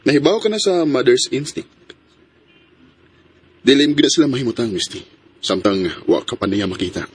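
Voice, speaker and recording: A man's voice: medium pitch, lively intonation, moderate pace, a little hiss or hum, lightly acted. An actor playing a polite, dutiful young man in a radio drama, earnest and mixing in English. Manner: earnest, mixes English into his speech.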